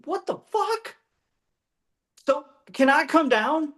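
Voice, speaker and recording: A woman's voice: high voice, animated, takes it slowly. A woman acting a scared, inexperienced young male oil rig worker in a script read, hesitant and anxious. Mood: panicky, unsure. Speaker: actor.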